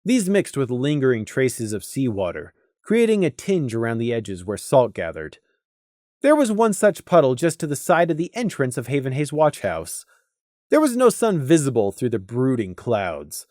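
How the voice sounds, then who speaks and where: Expressive man narrating a story, close dry sound. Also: medium pitch, moderate pace, no echo. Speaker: audiobook narrator. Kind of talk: scripted dramatic story narration.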